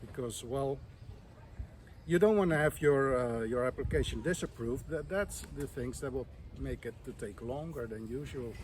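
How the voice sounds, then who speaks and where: A man's voice: medium pitch, moderate pace, a little hiss or hum. A man from the Netherlands who builds villas, giving casual to-camera advice in a plain, hesitant way with many ums.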